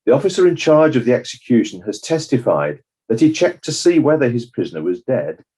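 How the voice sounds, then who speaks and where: A man playing a measured, judicious coroner, delivering a formal, deliberate summing-up in a dramatized courtroom inquiry. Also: mid-range voice, big pitch swings, mellow, slightly rough. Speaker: presiding judge of inquiry.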